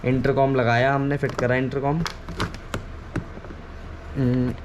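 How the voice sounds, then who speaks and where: A man's voice: mid-range voice, flat delivery, slow pace. A man giving an informal hands-on tutorial, explaining things step by step and often repeating himself. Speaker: video creator giving a how-to. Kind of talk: casual hands-on tutorial chat. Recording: clearly noisy ambient background.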